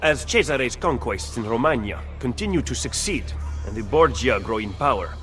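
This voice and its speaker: Game casting: male actor as skeptical schemer, measured, formal and admonishing, heard over music. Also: mid-range voice, animated, a bit bright, close to an everyday voice. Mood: guarded. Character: cautious, shrewd Italian Renaissance male political strategist, stern and skeptical. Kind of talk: dramatic video game acting. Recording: music behind the dialogue.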